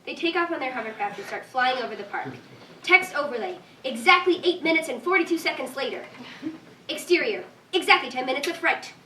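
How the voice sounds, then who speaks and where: A woman's voice: high voice, expressive, brisk, pushed and bright. A woman reading stage directions as scripted narration at a live staged reading. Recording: echoey room, distant mic, faint noise.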